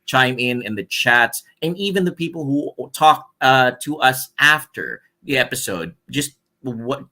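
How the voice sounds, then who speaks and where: Bright, forward-sounding male co-host. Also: mid-range voice, expressive, unhurried. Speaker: show co-host. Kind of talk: casual interview hosting.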